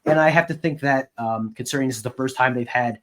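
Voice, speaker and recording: A man co-hosting a sports podcast, giving casual commentary in a measured, wry way. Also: medium pitch, a bit bright.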